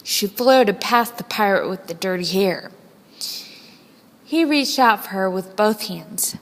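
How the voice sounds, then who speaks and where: A woman reading a children's story aloud in a dramatic, deliberate storytelling manner. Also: medium pitch, expressive. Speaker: storybook reader. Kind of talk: scripted children's story narration, read aloud expressively. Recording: long hall-like reverb.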